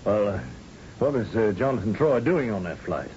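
An actor playing a shrewd, folksy, commanding space colony governor in an old-time radio drama, with hesitant asides. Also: male voice, lowish voice, expressive, moderate pace, a little mellow, close to an everyday voice. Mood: probing. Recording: muffled radio drama recording, music, noise.

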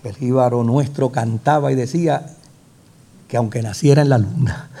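A man's voice: mid-range voice, normal speed, warm tone. A man with a Puerto Rican accent preaching a sermon, building emphatic repetitions and rhetorical questions into fervent peaks. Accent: Puerto Rican Spanish. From Puerto Rico.

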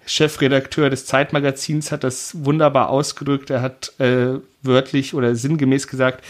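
A man giving a measured, explanatory analysis in a discussion, with frequent hedging asides. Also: medium pitch, level tone.

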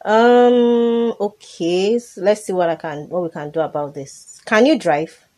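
A woman with a Nigerian accent playing a caring, composed older benefactor in a radio drama, speaking gently and in measured phrases. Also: mid-range voice, close to an everyday voice. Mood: kindly.

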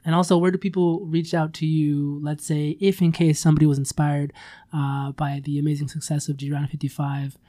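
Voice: mid-range voice, level tone, fairly quick, dark tone. An effusive, encouraging radio host speaking casually in an interview, praising and thanking the guest.

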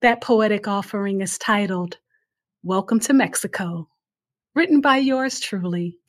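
A woman's voice: medium pitch, measured pace. A woman hosting a radio show where storytellers share their stories.

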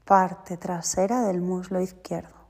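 A woman guiding a yoga nidra relaxation as a teacher, giving short, soothing, deliberate instructions. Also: on the low side, flat delivery, gravelly, a little mellow, breathy edge. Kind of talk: guided yoga nidra meditation. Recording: long reverberant hall-like echo.

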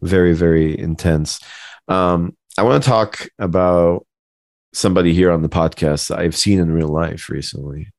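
A man's voice: low-pitched, normal speed, a little mellow, no room sound, clean studio sound. A man talking casually and informally as a podcast co-host. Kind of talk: casual chat.

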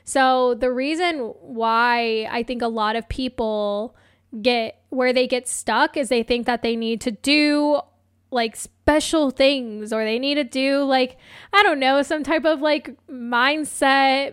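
A woman hosting a solo motivational podcast as a coach, chatting casually and encouragingly, her voice slightly hoarse. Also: sits high, fairly even intonation, measured pace, fairly bright tone, no room sound, clean studio sound. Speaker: podcast host and life coach.

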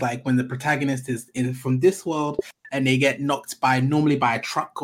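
Enthusiastic male podcast host who stops to explain things to listeners. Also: mid-range voice. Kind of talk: casual podcast chat.

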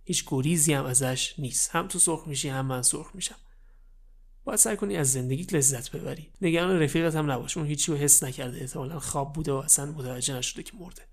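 A man voicing a grumpy, rude older male hamburger boss who talks down to others. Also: on the high side. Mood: grim. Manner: dismissive, insulting, rushed.

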